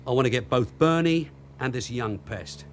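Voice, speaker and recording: A man acting a seasoned, hard-nosed London police sergeant in a radio crime drama. He is dry and quietly commanding, with lively shifts of pitch in a mid-range voice, over a faint hum. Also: normal speed, natural voice. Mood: dry, confident. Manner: matter-of-fact, dry, quietly authoritative. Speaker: actor in the lead role.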